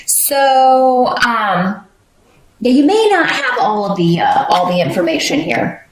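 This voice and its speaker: A woman's voice: mid-range voice, big pitch swings, takes it slowly, reverberant, poor audio. A woman giving a casual tarot reading, rambling with many fillers and restarts. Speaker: tarot reader.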